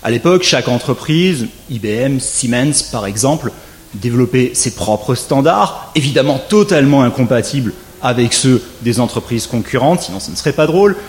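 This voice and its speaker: A man, a legal scholar, giving a formal conference lecture, measured with wry asides and rising emphasis on key points. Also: medium pitch, animated, slight background noise.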